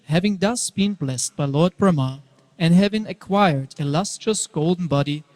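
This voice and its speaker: A man's voice: fairly high pitch, a little mellow. A man narrating a scripted devotional story as part of a dramatic reading. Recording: hall with long reverb.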